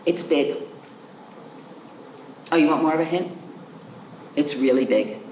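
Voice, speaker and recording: A woman's voice: sits low, a little slow, darkish tone. A woman children's librarian chatting to young children, explaining things simply and asking the listener questions. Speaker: children's librarian hosting virtual storytime. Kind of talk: storytime talk to young children. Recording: roomy echo, clear background noise.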